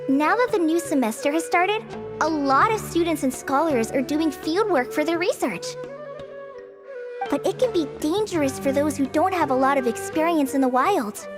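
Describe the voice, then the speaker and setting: A woman voicing a modest, friendly young female forest ranger with soft nervous laughs in a game dub. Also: sits high. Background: game music.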